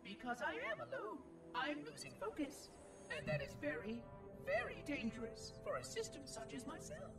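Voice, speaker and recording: A woman's voice: sits high, wildly swooping pitch, normal speed. A woman playing a lonely, unraveling machine caretaker in a science fiction game, musing to itself in formal, precise phrases. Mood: wistful, rambling. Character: lonely, unraveling AI monitor machine guarding an ancient installation. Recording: distant, with music playing behind.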